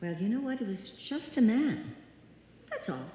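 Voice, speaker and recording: A woman playing a headstrong, self-possessed small-town maiden lady in a live old-time radio drama, deliberate and dismissive in manner. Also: fairly high pitch, sing-song, mellow. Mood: stubborn, dismissive. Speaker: amateur radio play actor. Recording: echoey hall, live stage performance.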